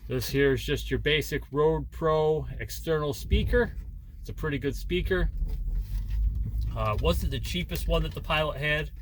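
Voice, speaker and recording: A man's voice: fairly high pitch, moderate pace. A man chatting casually as a vlogging truck driver, with a folksy, jokey manner, recorded in a truck cab. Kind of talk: casual vlog chat. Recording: loud vehicle and engine noise. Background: loud vehicle noise.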